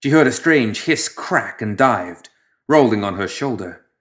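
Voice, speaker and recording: A man reading scripted fiction as an audiobook narrator, in a measured, dramatic storytelling manner that drops softer at the ends of phrases. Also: lowish voice, lively intonation.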